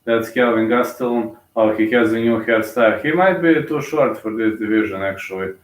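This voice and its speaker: Rambling Bulgarian man, low and echoey. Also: moderate pace, distant mic. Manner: rambling, thinks aloud with many fillers and restarts. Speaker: live fight commentator. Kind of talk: casual live commentary.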